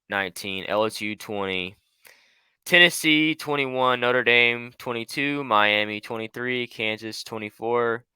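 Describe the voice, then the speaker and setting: A man's voice: mid-range voice, bright, forceful tone, clear, resonant tone, no echo. A young man co-hosting a casual sports podcast, easygoing and laughing often. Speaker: podcast co-host.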